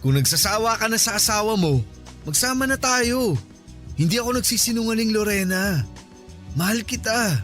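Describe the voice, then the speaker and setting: A man's voice: high-pitched, animated, normal speed, long pauses, a bit bright, faint music behind. An actor in a Filipino radio drama playing an insistent, lovesick man who coaxes and pleads with exasperation. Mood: pleading, lovesick. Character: insistent, lovesick male friend pursuing married woman.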